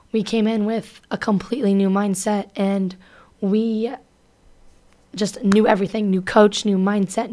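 A woman's voice: medium pitch, a little mellow. A young woman in college hosting a casual radio talk show, chatty and full of "um" and "like" as she talks. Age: young adult. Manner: chatty, lots of "um" and "like".

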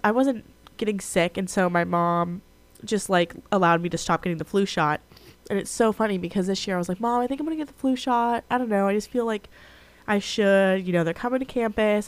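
A young woman hosting a college radio show alone, chatting casually; she sounds congested, nasal and a little raspy from being sick. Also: mid-range voice, brisk, no echo. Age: young adult. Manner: chatty, frequent "like" and "um", restarts. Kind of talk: casual solo radio chat.

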